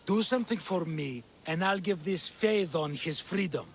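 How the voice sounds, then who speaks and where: High-voiced arrogant slave master. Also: male voice, normal speed, a little hiss or hum. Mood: smug, menacing. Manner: haughty, dismissive. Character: arrogant, cruel male slave master and cultist. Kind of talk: video game cutscene acting.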